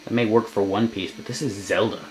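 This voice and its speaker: A man's voice: fairly low pitch, steady tone, brisk, darkish tone, slight background noise, poor audio. A man doing casual, joking gameplay commentary, grumbling sarcastically and reading on-screen text aloud. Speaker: let's play commentator. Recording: game music behind the voice. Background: video game music.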